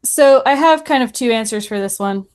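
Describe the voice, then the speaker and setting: A woman's voice: on the high side, normal speed, clear, resonant tone. Casual woman podcaster chatting away. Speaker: podcaster answering questions as a guest. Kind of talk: casual chat.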